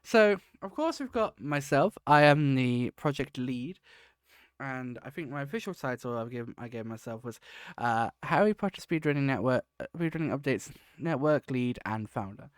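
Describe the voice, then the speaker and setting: Man, podcast host, rambling self-correcting talk, smooth clear voice, dry close sound. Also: mid-range voice, lively intonation, normal speed, dry recording. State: sniffly. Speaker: podcast host and project lead. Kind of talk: casual solo podcast talk.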